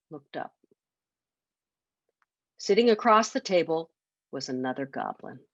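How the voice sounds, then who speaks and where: A woman's voice: low voice, dry recording, lo-fi sound. A woman reading a children's bedtime story expressively, as a storyteller.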